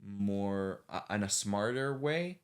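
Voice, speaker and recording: A man speaks as a guest in a conversational interview. His voice sits on the low side, and he often hesitates as he reaches for the right word. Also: expressive, slow. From Israel. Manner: searches for words, frequent hesitations. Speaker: guest, army officer.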